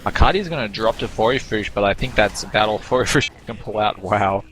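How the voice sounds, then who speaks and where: A male esports caster with a fairly low voice talks through the match in an analytical, halting way. He restarts phrases as he thinks. A faint noise floor sits under the recording. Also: expressive, fairly bright tone. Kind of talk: game commentary.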